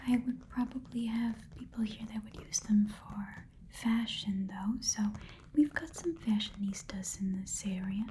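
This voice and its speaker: A woman voicing a chatty, haggling goblin pawn shop keeper in a breathy whispered ASMR roleplay, with casual muttered asides. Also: medium pitch, a little slow, warm tone, slight background noise, close to an everyday voice. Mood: appraising, doubtful.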